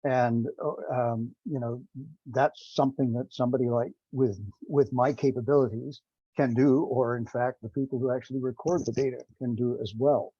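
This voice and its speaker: Husky, mellow man presenting on a call. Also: mid-range voice, fairly even intonation. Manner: halting, rambling delivery. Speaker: presenter on a technical teleconference. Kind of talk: informal slide presentation. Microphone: remote teleconference call. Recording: dull, roomy conference-call audio.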